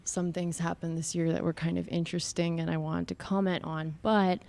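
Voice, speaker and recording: A young woman, a graduating student, talking earnestly and quickly in a casual interview. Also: on the low side, flat delivery, vocal fry, a little mellow.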